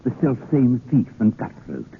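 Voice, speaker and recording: A man's voice: medium pitch, very animated, unhurried. A mocking, imperious king on old radio. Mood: slyly amused. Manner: commanding, theatrical, muttering hums and hesitations. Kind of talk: 1940s radio acting. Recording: tinny, hissy old radio broadcast.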